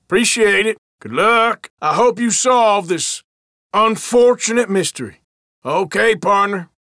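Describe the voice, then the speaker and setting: Irritable old west outlaw for a game, american western drawl, folksy n defensive, pitched up high, forceful edge. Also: male voice, animated, unhurried, no echo, studio quality, heavily acted. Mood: conciliatory. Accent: American, rural Western drawl. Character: gruff Old West outlaw cowboy, male, irritable and wary. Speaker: video game character. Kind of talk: game voice lines, short barks.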